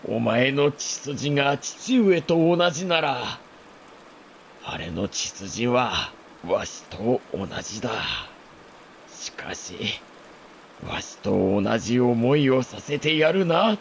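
A man playing a dying older samurai lord, an anguished father speaking halting, archaic lordly Japanese in a historical audio drama. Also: medium pitch, lively intonation, gravelly, a little hiss or hum. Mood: weary, tender.

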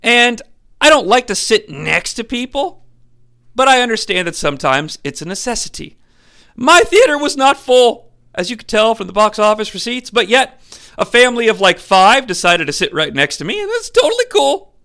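Animated sarcastic young man reviewing a film. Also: extremely high pitch, moderate pace. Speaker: podcast host.

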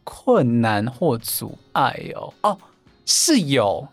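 A man, a podcast guest chatting casually and gently, with frequent laughs and fillers. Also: mid-range voice, very animated, slow pace, no room sound, soft background music. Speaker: podcast guest, event founder. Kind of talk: casual interview chat.

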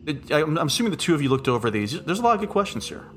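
A man with a deep voice hosting a casual podcast chat, joking and rambling off into asides. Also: sing-song, rapid-fire, fairly bright tone, slight background noise. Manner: jokey, rambling, with frequent asides. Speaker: podcast host.

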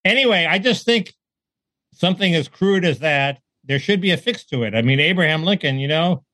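A man's voice: on the high side, animated, a little fast, sharp tone, no echo. A man co-hosting a casual political chat, wry and joking, laughing between points. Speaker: podcast co-host.

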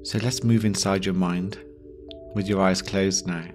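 A man with a low voice leads a guided deep rest meditation. He speaks slowly and gently, leaving long pauses between short phrases. Meditation music with singing bowls plays behind him. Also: fairly even intonation. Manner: slow, pausing, gentle instruction. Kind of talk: guided yoga nidra meditation.

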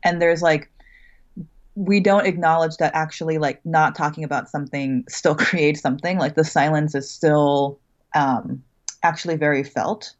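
A woman's voice: low-pitched, fairly even intonation, normal speed. A woman guest who teaches creative writing, answering thoughtfully in casual talk with lots of "like" and "right?". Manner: thoughtful, uses many "like" and "right?" asides. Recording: muffled remote call audio.

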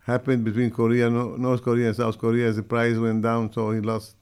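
An older man with a heavy Brazilian accent, answering interview questions in halting, direct English with frequent restarts. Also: medium pitch, completely flat delivery, darkish tone, clear, resonant tone. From Syria. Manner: halting, restarts mid-phrase, plain direct phrasing. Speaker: guest.

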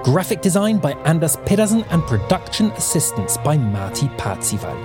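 Lively podcast announcer woman over music. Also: sits low, normal speed. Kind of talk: scripted credits and listener appeal.

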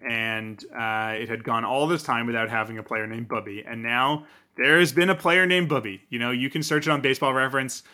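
A man's voice: mid-range voice, a little fast, pushed and bright. A man co-hosting a casual sports podcast, joking and rambling before interviewing a guest. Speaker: podcast co-host.

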